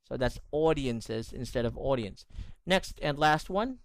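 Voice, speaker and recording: A man's voice: mid-range voice, animated, a little slow, dry recording. A man teaching English in an online lesson, correcting pronunciation and praising his student often. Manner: encouraging, corrective, repeats praise.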